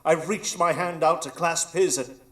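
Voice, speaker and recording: A man's voice: on the high side, fairly even intonation, moderate pace, a bit bright, close to an everyday voice. A man as a grandiose, scheming old pirate villain. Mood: wounded, aggrieved. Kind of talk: live radio drama acting.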